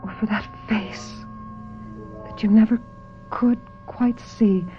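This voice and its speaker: An actress playing a haunted, pill-dependent film star in a radio drama, theatrical, measured and emotionally raw. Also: female voice, medium pitch, steady tone, mellow, soft background music, lightly acted. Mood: wistful confession. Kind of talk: radio drama acting, confessional narration and dialogue. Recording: dull lo-fi radio drama recording.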